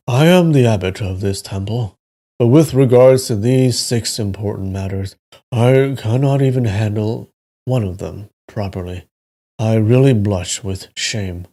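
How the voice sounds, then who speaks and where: A man voicing a solemn, dignified Buddhist abbot in a storybook tale, speaking calmly and formally. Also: on the low side, unhurried. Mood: ashamed, grave. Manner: plain, calm, formal delivery. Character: solemn, dignified male Buddhist temple abbot, resolute and humble. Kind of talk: storybook character reading.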